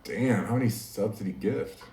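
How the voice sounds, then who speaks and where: A man's voice: fairly low pitch, moderate pace, warm tone, a little hiss or hum. A man hosting a casual livestream, talking easily to his chat and laughing often. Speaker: livestream host. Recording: echoey room, mic at a distance.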